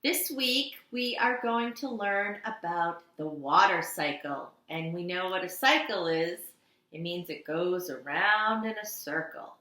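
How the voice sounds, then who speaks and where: A woman kindergarten teacher reading aloud to young children with a dramatic, deliberate, expressive delivery. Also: lowish voice. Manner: dramatic, deliberate delivery with strong swells and hushed endings. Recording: echoey, roomy sound.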